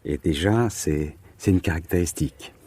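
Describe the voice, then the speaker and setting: A man in his forties from southern France with a low, dark voice and a husky grain. He answers as an interview guest in a conversational way, pausing to find words and repeating them for emphasis. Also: no echo. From Marseille, southern France.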